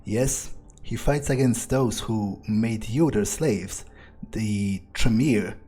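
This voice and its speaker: A man narrating his gameplay in character, thinking aloud in a measured, musing way. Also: fairly low pitch, mellow, husky. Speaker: gamer role-playing as his character. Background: faint music.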